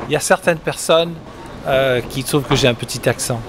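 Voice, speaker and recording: A middle-aged man from England, a musician, answering interview questions in a relaxed, rambling way with many hesitations. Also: mid-range voice, brisk. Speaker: musician guest. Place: outdoors at a summer concert event. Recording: outdoor street noise behind. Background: traffic and street ambience.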